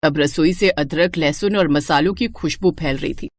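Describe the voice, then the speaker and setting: A woman's voice: deep voice, normal speed, no echo. A woman narrating a scripted cartoon moral story as its storyteller.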